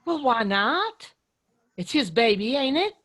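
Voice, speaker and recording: A forceful, middle-aged mother in a radio drama. She speaks slowly and plainly, with a sharp edge to her scolding and care underneath it. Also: high-pitched, big pitch swings, full character voice. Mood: firm concern. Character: stern, plain-spoken mountain mother, middle-aged, scolding but caring.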